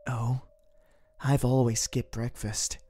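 A man performs a cold, dismissive teenage boy from a dubbed webcomic. He is curt and sarcastic, with a mid-range pitch and faint music behind. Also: little pitch movement, takes it slowly, warm tone, natural voice. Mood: sullen, scornful. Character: spiteful, sullen teenage son, cold and dismissive. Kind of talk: fan dub comic voice acting.

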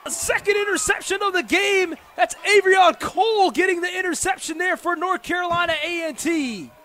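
An American man calling live football play-by-play on the radio with excitable, dramatic delivery. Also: very high voice, normal speed. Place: football stadium during a live game. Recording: noisy live stadium broadcast.

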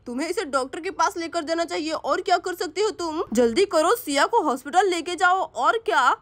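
A woman's voice: high-pitched, normal speed, a bit bright, voice pushed down. A woman voicing a bossy older man who scolds and mocks his young brother-in-law. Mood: scolding. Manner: scolding, mocking.

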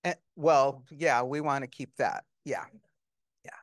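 An older woman interviewing an author on stage, asking questions haltingly with many ums, on a handheld mic. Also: very deep voice, no room sound, clean studio sound. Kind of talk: onstage author conversation. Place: small stage before an audience, indoors.

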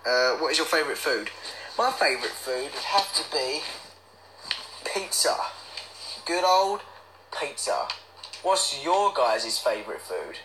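A man's voice: mid-range voice, very animated, unhurried, pauses often, background noise. A young man chatting casually on a livestream, reading out comments in a playful, silly manner. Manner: playful, silly, breaks into bits of song. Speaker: livestream host.

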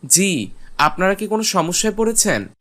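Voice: medium pitch, big pitch swings, moderate pace, fairly bright tone. A performer playing a polite, earnest and eager village boy in a cartoon. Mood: polite, helpful. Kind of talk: cartoon voice acting.

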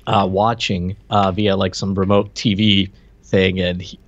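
A man co-hosting a casual, joking movie discussion, retelling scenes in a halting way, on a slightly muffled home recording. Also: sits low, little pitch movement. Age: late 30s. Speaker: podcast co-host. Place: home room on a video call.

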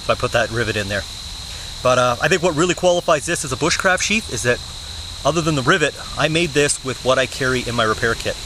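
A young man talks casually to a nearby camera while sitting on the forest floor. He speaks in an easygoing, plain way, often pausing on "uh". Crickets and insects buzz clearly behind him in the outdoor camera audio. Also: medium pitch, background noise. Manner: relaxed, plain-spoken, many "uh" fillers. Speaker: bushcraft channel host. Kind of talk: casual show-and-tell vlog. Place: woods outdoors, seated on the ground. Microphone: camera mic at close range.

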